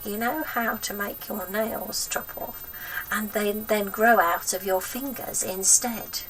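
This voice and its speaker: Woman voicing a sinister, creaky old grandmother. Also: medium pitch, fairly even intonation, a bit bright, far from the mic, a little hiss or hum, poor audio. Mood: eerie, threatening. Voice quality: throaty, breathy whisper at times. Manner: drawn-out, menacing, relishing nasty words.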